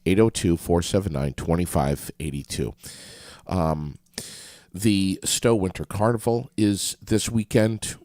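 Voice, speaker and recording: Stuffed-up deep-voiced radio host. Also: male voice, a little slow, a little mellow, no room sound. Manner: loose and profane, joking. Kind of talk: casual radio chat.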